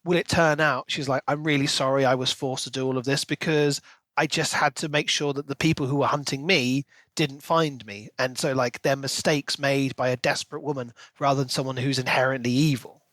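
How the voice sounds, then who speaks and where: A man's voice: mid-range voice, steady tone, fairly quick, a bit bright, dry recording. A man co-hosting a podcast, discussing books casually in an articulate, analytical way. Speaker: podcast co-host.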